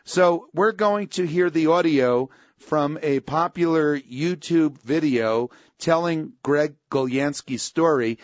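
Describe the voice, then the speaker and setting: A man's voice: fairly high pitch, takes it slowly, dry recording, dull audio. A man, a pastor hosting his radio show, delivering an emphatic, opinionated scripted commentary.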